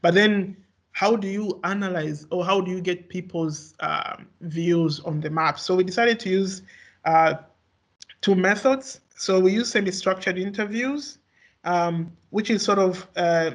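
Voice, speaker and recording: A young man whose first language is Swahili gives an academic research talk, halting often with fillers and restarts. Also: sits high. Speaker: researcher presenting.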